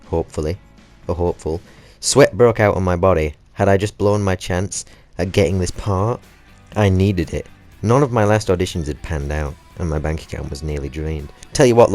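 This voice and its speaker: A British man with a low-pitched voice reads a story aloud in a loose, comedic way. He is cheeky and sweary, slipping out of the narration into chatty asides, with faint music behind him. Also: a little mellow. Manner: sweary, cheeky, often breaking into laughter. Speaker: host of a gaming channel reading stories aloud.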